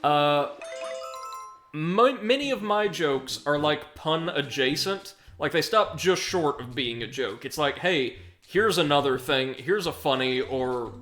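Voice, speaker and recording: A man's voice: fairly high pitch, animated, unhurried, a bit bright. An American man streaming a video game live, rambling along with self-deprecating puns and reading out chat messages as he plays. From United States. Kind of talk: casual live commentary while gaming. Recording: game music underneath. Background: video game music.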